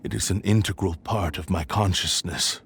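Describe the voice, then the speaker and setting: Ancient, sorrowful sword spirit. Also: male voice, low-pitched, gravelly, slight background noise. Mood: weary grief. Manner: slow, weary and grave, sighing.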